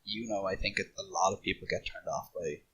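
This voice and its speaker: Low, husky-voiced man talking games. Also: huge pitch swings, fairly quick, a bit bright, highs cut off. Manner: hesitant, thinking aloud. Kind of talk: casual podcast discussion.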